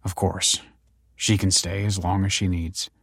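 A man's voice: low voice, flat delivery, unhurried, breathy edge, no room sound, studio quality, heavily acted. A man playing a weary, evasive husband in a narrated story, placating and defensive. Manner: hesitant, placating.

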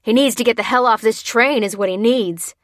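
A woman's voice: mid-range voice, flat delivery, fairly quick, no echo, close to an everyday voice. An aggressive man of about forty in an audiobook, voiced by a woman. He sounds desperate and urgent, forcing his words out. The sound is dry. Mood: angry, urgent. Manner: shouting, forceful, urgent.

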